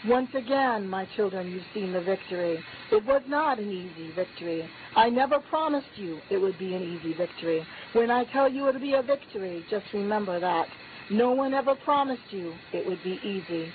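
A woman's voice: medium pitch, animated, moderate pace. A woman speaks a prophecy in the first person as God, with a solemn, chanting cadence that climbs on each refrain. The sound comes through a narrow, phone-like line. A faint hiss sits underneath. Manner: solemn, repetitive declaring cadence, lifting on key phrases. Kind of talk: prophetic utterance spoken as God.

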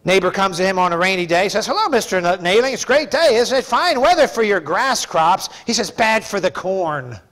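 High-pitched, emphatic male preacher. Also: sing-song, a little fast, hardly any pauses, a bit bright. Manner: emphatic, folksy, conversational with raised-voice bursts. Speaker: pastor. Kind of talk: sermon. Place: church sanctuary, preaching from a pulpit.

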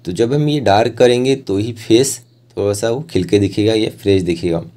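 Guy doing a craft tutorial voiceover, lowish soft dark tone, plain and informal, step by step. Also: moderate pace. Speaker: rangoli art instructor.

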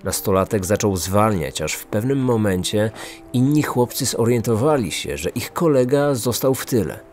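A man's voice: fairly low pitch, expressive, moderate pace, darkish tone. A man hosting a podcast and reading scripted true-crime narration. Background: faint music.

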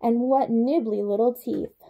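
Small storybook girl voiced by a woman. Dismayed, exclaiming, high and unhurried. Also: fairly even intonation, gravelly, dull audio. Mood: puzzled.

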